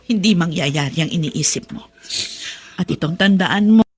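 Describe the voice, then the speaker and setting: A woman's voice: lowish voice, normal speed, close to an everyday voice. An actress playing a middle-aged wife and mother who keeps the family strong, talking in a soothing, earnest way. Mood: comforting. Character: loving wife, mother and daughter, worried but steady.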